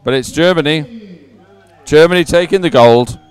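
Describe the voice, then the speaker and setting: A man's voice: fairly high pitch, moderate pace, smooth, soft background music, low-quality recording. A man commentating live on a sporting event and reading out results in a chatty, ad-libbed way.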